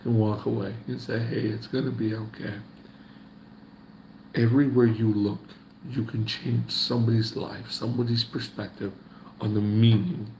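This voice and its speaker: Man, gravelly mid-pitched voice, folksy motivational faith vlogger, echoey room. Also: darkish tone, slight background noise. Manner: preachy, repetitive, folksy. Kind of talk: casual motivational talk to camera.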